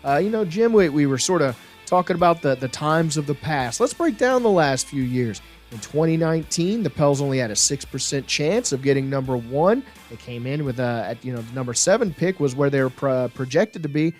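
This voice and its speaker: A man's voice: a little high, lively intonation, fairly quick, few pauses, a little mellow, faint music behind. A man hosting a sports podcast, chatting in an informal, jokey, slangy way. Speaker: podcast host. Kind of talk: casual sports podcast chat.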